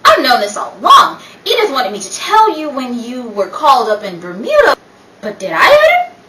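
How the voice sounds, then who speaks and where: Meddling high-society gossip, played by a woman, theatrical false concern, high voice, 1930s radio style, distant mic. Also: huge pitch swings, moderate pace. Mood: urgent meddling. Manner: theatrical, gushing. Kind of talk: 1930s radio play acting. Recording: echoey room, distant mic, faint noise.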